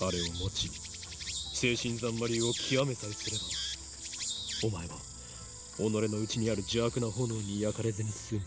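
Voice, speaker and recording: A man's voice: fairly low pitch, fairly even intonation, fast talker, long pauses, lightly acted. A man playing a grandiose, paranoid young mad scientist in a Japanese visual novel, commanding and theatrical. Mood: pompous, commanding. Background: game music.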